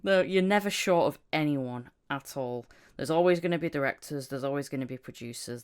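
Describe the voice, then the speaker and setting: A woman speaking conversationally as the host of a podcast about voice acting. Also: rumbling low. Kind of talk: casual podcast chat.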